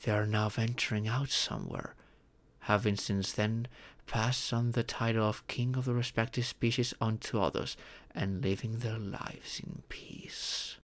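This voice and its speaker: A man playing a fatherly dragon mentor in an ASMR fantasy roleplay, patiently telling stories and teaching magic in a gentle, measured, instructive way. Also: fairly low pitch, fairly even intonation. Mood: solemn narrating. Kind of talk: ASMR audio roleplay, scripted fantasy storytelling.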